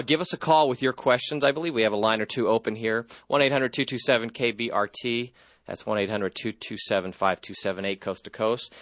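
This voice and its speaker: A man's voice: medium pitch, no room sound. A man filling in as host of a call-in radio show, talking casually with lots of fillers. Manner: relaxed, lots of uh fillers and restarts. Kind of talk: live call-in radio talk. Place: radio studio. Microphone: studio microphone.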